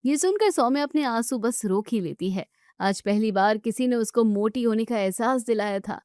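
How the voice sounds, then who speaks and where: A woman reads a scripted story as its narrator. Her voice sits fairly high, and the recording is dry with hardly any room sound. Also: expressive, normal speed, a little mellow, dry recording.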